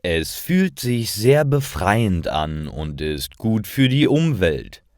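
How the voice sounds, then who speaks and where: Male narrator, deep voice, lively intonation, careful phrasing, scripted stories for learners, dry close sound. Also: takes it slowly, no room sound. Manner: careful, clearly phrased reading in short sentences.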